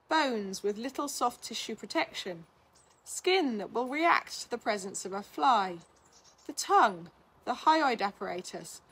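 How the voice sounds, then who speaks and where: A woman narrates a written article in a fairly high voice with a husky, less clear edge. Her delivery is measured and instructional, as a reader explaining material to listeners. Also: a bit bright, rough recording. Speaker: reader of a blog, physiotherapist. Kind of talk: scripted narration, reading an article aloud.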